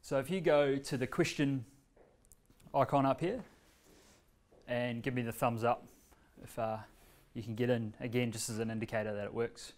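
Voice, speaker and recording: A man, giving a casual workshop demonstration in a meeting room with many fillers and pauses. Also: mid-range voice, fairly even intonation, a little mellow. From New Zealand. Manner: standing, walking between screen and laptop. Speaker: presenter demonstrating a learning tool. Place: bright medium-sized meeting room with a screen, indoors. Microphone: far camera.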